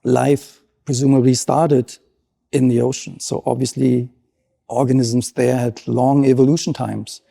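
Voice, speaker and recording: A man's voice: medium pitch, flat delivery, a little slow, vocal fry, mellow. A middle-aged man with a German accent, a marine scientist explaining his work in an interview with earnest enthusiasm.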